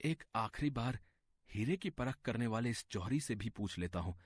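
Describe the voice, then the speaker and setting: Man acting a husky, troubled village seeker. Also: medium pitch, expressive, moderate pace, dry recording, studio quality. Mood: polite, hesitant. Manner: hesitant, earnest, polite. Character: troubled, humble, polite village man seeking life's worth. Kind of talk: dramatized Hindi moral story. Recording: faint music behind the voice.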